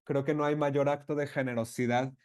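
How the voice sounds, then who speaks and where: A man interpreting a webinar conversation live, speaking in halting phrases with false starts and self-corrections. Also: medium pitch, level tone, darkish tone, clean tone.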